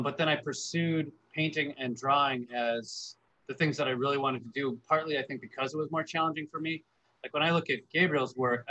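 Guest artist, man on a webcam call from a studio; bright voice, hesitant, stops and restarts. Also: mid-range voice, poor audio. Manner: hesitant, repeats words. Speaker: paleoartist guest. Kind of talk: video call interview. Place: workshop studio. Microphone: video call webcam.

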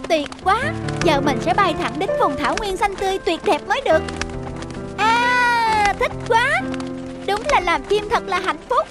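A performer voicing a lazy, playful young schoolboy with an exclamatory, dreamy and whiny manner in an animated fairy tale. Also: extremely high pitch, a little slow, loud music behind the voice. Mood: gleeful.

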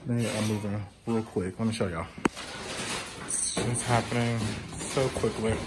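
A man's voice: fairly low pitch, fairly even intonation, measured pace, heavy background noise. Congested, chatty man filming a home tour. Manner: chatty, emotional, addresses viewers as y'all. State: nasal congestion. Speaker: vlogger. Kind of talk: casual home-tour vlog. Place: house being packed up, indoors. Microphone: handheld phone camera.

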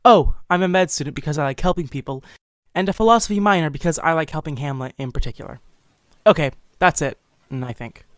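A woman's voice: low-pitched, fast talker, no echo. A woman speaking casually and hesitantly as a player on a role-playing game podcast. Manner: hesitant, unsure. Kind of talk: casual introduction.

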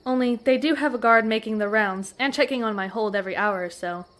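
A woman's voice: on the high side, a little fast, a bit bright, close to an everyday voice. An actress playing a composed, resourceful young frontier lady in a comedy western audio drama, with polite, proper phrasing. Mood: calm.

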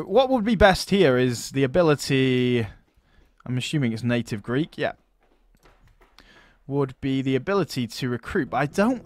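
A man giving casual let's-play commentary on a strategy game, chatting, laughing often and talking to his units as friends. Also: medium pitch. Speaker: gaming YouTuber.

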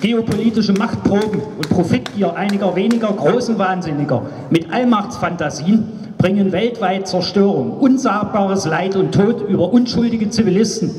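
A man in his fifties speaks into a handheld microphone at a street rally, his voice raised high. He declaims his political speech with emphasis, and it rings out over a loudspeaker across an open square, picked up from far off with long reverb. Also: normal speed, slight background noise. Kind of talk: political rally speech, partly read from notes. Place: outdoors on a cobbled town square at night. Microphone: handheld microphone through loudspeaker, recorded by a far camera. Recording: echoey outdoor PA, far from camera.

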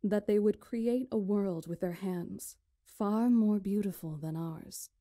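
A woman voicing a dignified young witch chronicler in a visual novel, speaking in a measured, formal way. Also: medium pitch, warm tone, dry recording, clean studio sound. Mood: solemn.

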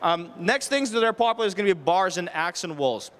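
Clear, high-pitched man presenting on stage. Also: animated, fairly quick, a bit bright. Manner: explanatory, poses rhetorical questions and lists options. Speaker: renovation contractor giving a presentation. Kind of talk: public presentation with practical tips. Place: stage at an indoor home show. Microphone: handheld microphone held near the chin.

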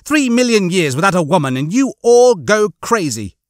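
A man's voice: high-pitched, very animated, moderate pace, no echo, studio quality, pitched above the performer's own voice. A man voicing a pompous, prickly hologram officer in an audiobook, clipped and indignant. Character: pompous, prickly male hologram, loudly showing off.

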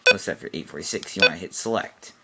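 A man's voice: deep voice, fast talker, very noisy. A man giving an informal, unscripted how-to demonstration in a matter-of-fact, instructional way, recorded indoors on a handheld camera. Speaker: product demonstrator. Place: small cluttered room indoors.

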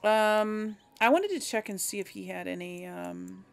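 Slow-paced woman musing over a game. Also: medium pitch, gravelly, clear, resonant tone. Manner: musing aloud, hesitant deliberation. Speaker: let's play host. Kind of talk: casual gameplay commentary.

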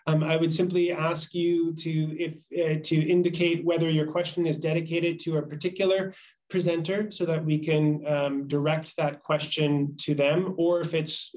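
A man in his thirties moderating a formal panel over a video call, speaking in a measured, polite and halting way. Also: on the high side, flat delivery, darkish tone. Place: small office, indoors. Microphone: video call microphone.

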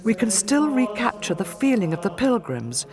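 A woman reading a documentary narration in a measured, expressive way. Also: fairly high pitch, a crowd in the background. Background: music.

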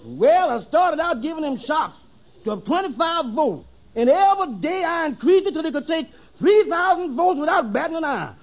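An actor playing a brash, shady, inept lawyer in a 1950s radio sitcom, telling stories with cocksure briskness. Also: male voice, very high voice, very animated, exaggerated voice. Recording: dull old radio recording.

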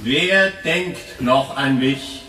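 A solemn atheist declaims questions in a radio play. His bright, forceful voice rings out from far off in an echoing empty church, extremely slowly. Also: mid-range voice, slight background noise. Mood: solemn, searching.

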